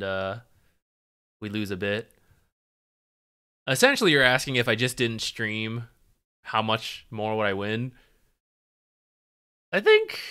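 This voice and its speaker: A man's voice: medium pitch, pushed and bright, clean tone. A young man from the United States streaming as he reviews game replays and reads chat, laid-back and thinking aloud. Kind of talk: casual commentary while reading chat.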